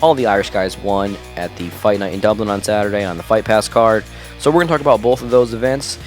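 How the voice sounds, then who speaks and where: Male podcast host, lowish nasal voice, excitable casual chatter over faint music. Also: fast talker. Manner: chatty, enthusiastic, many fillers. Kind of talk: casual solo podcast talk. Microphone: home podcast mic through a mixer.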